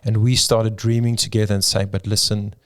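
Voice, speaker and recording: A man's voice: fairly low pitch, level tone, a little fast. A middle-aged South African man, a pastor whose first language is Afrikaans, telling his story in an interview with frequent ums and restarts. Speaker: pastor and church planter.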